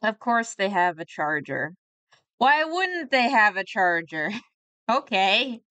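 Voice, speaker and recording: Young woman, casual live-stream chat, self-deprecating, laughs a lot, smooth clear voice, dry close mic. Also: mid-range voice, sing-song, slow pace, bright, forceful tone, no room sound. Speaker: streamer reacting to videos.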